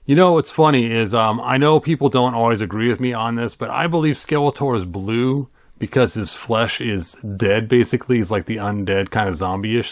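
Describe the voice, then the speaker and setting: A man's voice: fairly low pitch, no echo, studio quality. A middle-aged man giving a casual, playful, rambling read-along commentary as the host. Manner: playful, rambling, self-amused, adds sung sound effects. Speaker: host of a toy and storybook channel.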